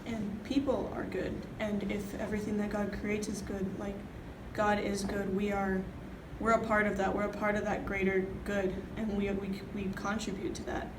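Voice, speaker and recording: A woman's voice: mid-range voice, little pitch movement, normal speed, hardly any pauses. A young adult woman talking earnestly and reflectively in a group. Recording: echoey room with background noise.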